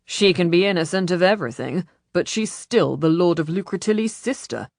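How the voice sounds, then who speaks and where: A woman's voice: lowish voice, expressive, moderate pace, no room sound, studio quality, close to an everyday voice. Woman voicing a curt, stern male galley commander. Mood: flat, commanding.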